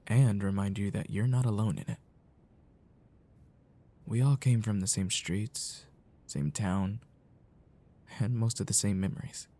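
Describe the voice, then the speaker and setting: Guy playing old friend tired of adulthood, soft asmr, lowish dark mellow voice, caring and kinda halting. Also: fairly even intonation, natural voice. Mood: wistful. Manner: slow, halting, reflective. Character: wistful, caring male childhood friend, weary of adulthood. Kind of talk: ASMR roleplay, soft intimate talk.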